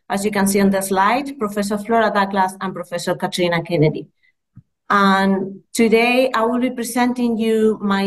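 A woman in her thirties with a Spanish accent presents research in a measured, earnest way, pausing on fillers and correcting herself as she goes. She speaks into a laptop mic on a video call from a small room. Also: medium pitch. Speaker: midwifery lecturer presenting research. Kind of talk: conference presentation.

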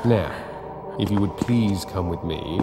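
A sinister hooded villain in a horror game. His low voice moves slowly and formally, with resentful theatrical menace. Loud music plays behind him. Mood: oily politeness. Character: sinister hooded man, falsely courteous, bitterly resentful. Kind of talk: dramatic horror game acting.

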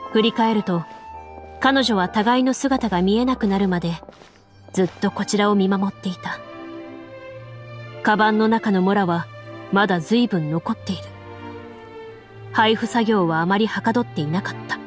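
A woman narrating a story as a game master in a measured, formal manner, like scripted game voice acting. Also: medium pitch. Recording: soft music under the voice. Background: background music.

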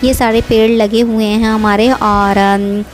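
A woman's voice: fairly high pitch, little pitch movement, a little slow. Chatty middle-aged woman over background music. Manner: chatty, rambling, repeats herself often. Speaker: vlogger. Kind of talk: casual voice-over narration.

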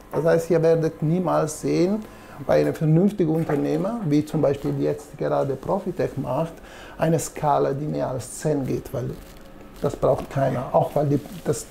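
Gravelly, mellow Italian-accented man. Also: fairly high pitch. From Italy. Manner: self-deprecating, halting, conversational. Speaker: presenter demonstrating espresso machines. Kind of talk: casual product demonstration. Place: small indoor coffee showroom. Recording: noticeable background room noise.

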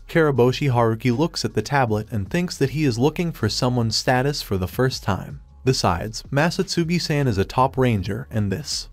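A man retells a manga chapter in steady third-person narration. His delivery stays even and flat, with stiff, translated-sounding wording. His voice sits at an ordinary middle pitch. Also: no echo.

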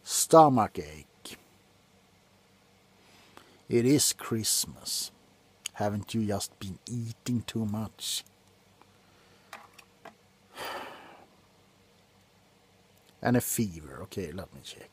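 A middle-aged man playing a grumpy, drunk doctor in an ASMR roleplay, grumbling sardonically close to the mic between soft talk and whispers. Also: on the low side, animated, slow pace, long pauses, breathy edge, full character voice. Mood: exasperated. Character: grumpy, drunk male doctor on a Christmas house call. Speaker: ASMR performer. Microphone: very close to the face.

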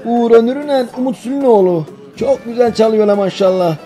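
An old village grandfather in a cartoon, very high in pitch and soft-edged in tone. He speaks a folksy Denizli Aegean dialect, fond and playful with the little ones. Faint music runs behind him. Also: brisk. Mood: doting, fond. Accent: Denizli, Aegean Turkish. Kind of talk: cartoon voice acting.

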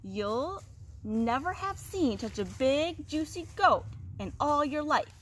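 A woman's voice: high-pitched, expressive, takes it slowly, fairly bright tone, voice pushed up, full character voice. A woman voicing an eager, boastful middle billy goat brother in a storybook, piping and persuasive. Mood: boastful pleading. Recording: noisy outdoor recording.

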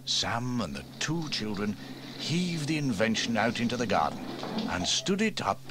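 A man narrating a children's storybook in a gentle, expressive way. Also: mid-range voice, moderate pace, background noise. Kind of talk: storybook reading.

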